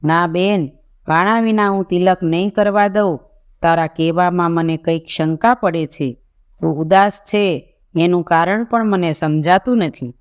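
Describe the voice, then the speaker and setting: Saintly, caring royal brother, smooth-voiced, as a narrator reads him. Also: medium pitch, a little slow, close to an everyday voice. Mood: probing, firm.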